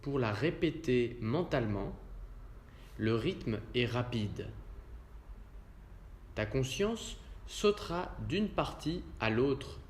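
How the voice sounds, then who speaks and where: A man leading a guided relaxation as a yoga instructor, speaking calmly and in measured, short, spaced phrases. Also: medium pitch, slight background noise. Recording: roomy echo around the voice.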